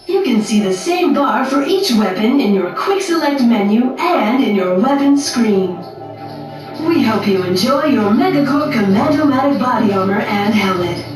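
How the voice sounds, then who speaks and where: A woman voicing a polite corporate presenter, reading scripted instructions in a courteous sales manner. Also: a little high. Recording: echoey, distant game audio with music.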